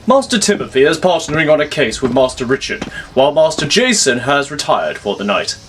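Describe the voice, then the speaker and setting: A man's voice: on the high side, sing-song, fairly quick, fairly bright tone, slight background noise. A man voicing a dutiful older butler with a formal, deferential, dryly witty manner. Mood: formal, calm.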